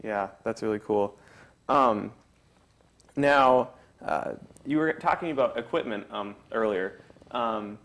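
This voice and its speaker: A man's voice: on the low side, takes it slowly, a bit bright. A man hosting a casual local interview, asking questions informally with short acknowledgements. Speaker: interviewer, host.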